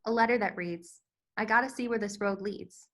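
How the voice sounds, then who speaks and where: A woman's voice: medium pitch, moderate pace, fairly bright tone. A woman reading scripted stage directions as the narrator of a screenplay table read. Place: virtual table read over video call.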